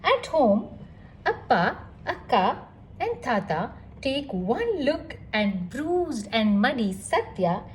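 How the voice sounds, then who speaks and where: A woman reading a picture book aloud to children in an expressive, engaging storytelling style. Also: a little high, slow, a little hiss or hum, low-quality recording. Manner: animated, addresses child listeners with questions.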